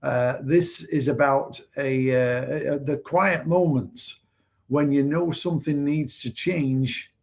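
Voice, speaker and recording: A man from England co-hosting a casual business livestream, speaking hesitantly with many pauses and fillers. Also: medium pitch, fairly even intonation, vocal fry. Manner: hesitant, many fillers and restarts, softer than co-host. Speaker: online business mentor and livestream co-host.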